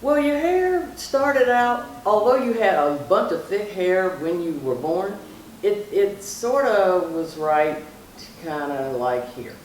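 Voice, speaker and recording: Low-voiced middle-aged woman, distant and echoey. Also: lively intonation, takes it slowly, darkish tone. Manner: rambling, emphatic repetition. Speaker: mother being interviewed. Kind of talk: casual reminiscing chat. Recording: echoey, distant mic, faint noise.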